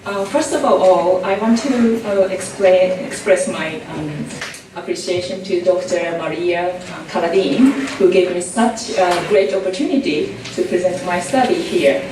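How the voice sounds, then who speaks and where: A woman's voice: on the low side, unhurried, noisy background. A woman from Japan with a Japanese accent, giving an academic conference talk in English with frequent ums and uhs. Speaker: conference presenter. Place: conference room. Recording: echoey hall, mic at a distance.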